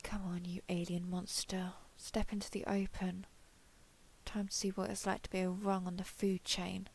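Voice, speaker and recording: A woman's voice: mid-range voice, flat delivery, normal speed, vocal fry, slightly rough. A woman playing a tough, cocky alien explorer and starship captain, wry and gritty, recording a log in an audio drama monologue. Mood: tense, hushed. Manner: wry, gritty, self-talking, mildly profane.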